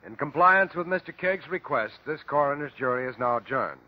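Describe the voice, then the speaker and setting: Man playing higher-pitched officious coroner. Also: animated, fairly quick, natural voice. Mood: officious, commanding. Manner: formal, declamatory. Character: officious male coroner presiding over inquest. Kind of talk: old-time radio drama acting. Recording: dull, lo-fi old radio recording.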